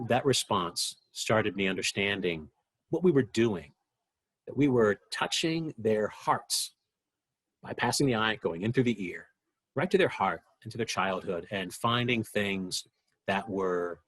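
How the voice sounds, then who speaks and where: A man's voice: lowish voice, lively intonation, a little slow, a bit bright, slightly rough. A man being interviewed, looking back reflectively on his experiences. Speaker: radio drama participant being interviewed.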